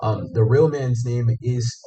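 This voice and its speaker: A man's voice: mid-range voice, unhurried, a crowd in the background. A man hosting an investigative show, narrating and explaining findings to a guest. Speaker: scam investigator and show host.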